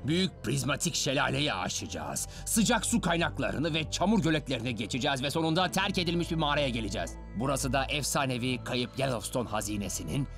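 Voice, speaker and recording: Showy masked cartoon villain, swooping delivery. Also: male voice, medium pitch, lively intonation, a little fast, hardly any pauses. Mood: smug, commanding. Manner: commanding, showy, formal. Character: theatrical masked male villain organizing a treasure race. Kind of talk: cartoon dub acting. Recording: loud music under the voice.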